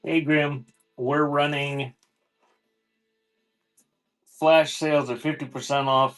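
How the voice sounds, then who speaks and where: Man hosting a casual livestream sale. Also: medium pitch. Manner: informal, off-the-cuff announcing. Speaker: host of a live online auction selling cassette tapes. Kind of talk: casual livestream sales patter.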